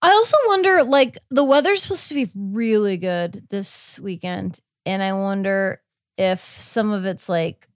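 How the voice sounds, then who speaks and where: A woman's voice: medium pitch, a little slow, no room sound. Woman podcaster chatting, close dry sound. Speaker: podcast co-host. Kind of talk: casual true crime podcast chat.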